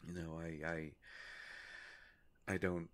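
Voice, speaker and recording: A man playing a courteous, melancholy vampire in a roleplay audio drama, speaking formally and hesitantly with long pauses and a gravelly voice. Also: flat delivery. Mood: apologetic, hesitant. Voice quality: gravelly, creaky low voice. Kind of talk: scripted roleplay audio drama addressed to the listener.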